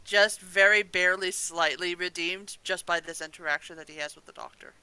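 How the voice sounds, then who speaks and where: A woman's voice: lowish voice, fairly even intonation, a little fast, sharp tone, clear, resonant tone, dry recording. A woman co-hosting a podcast in casual review chat, exclaiming and swearing freely. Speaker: podcast co-host.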